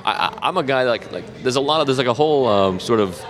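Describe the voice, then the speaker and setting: A man in his thirties with a Boston accent, a comedian guest in a casual interview, halting and self-deprecating with frequent laughs. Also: mid-range voice, expressive, fairly bright tone.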